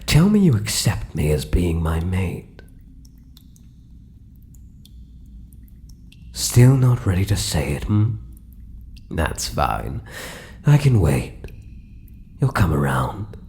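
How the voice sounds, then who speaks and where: Man playing a slow, crooning British spider monster. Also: low-pitched, sing-song, full character voice. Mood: coaxing, possessive. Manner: crooning, soft menace, breaking into whispers. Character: possessive, sinister yet doting male spider-human hybrid monster. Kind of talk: ASMR roleplay, intimate monologue to listener.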